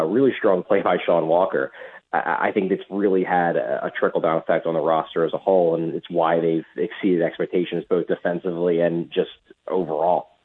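A man's voice: low-pitched, slightly rough. A male sports reporter giving analytical hockey commentary in a radio interview, in hesitant phrases with repeated words. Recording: muffled, tinny phone line.

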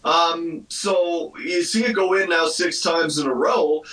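A man's voice: on the high side, takes it slowly, crowd noise. A man giving conversational sports commentary, chatty and informal, circling back to make his point. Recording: roomy, distant from the microphone.

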